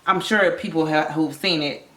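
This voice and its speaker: A woman's voice: low voice, moderate pace, fairly bright tone. Casual American female co-host, conversational review talk, roomy echo on the recording. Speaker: vlog co-host reviewing a film. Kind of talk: casual chat, movie review. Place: indoor room with bare wall.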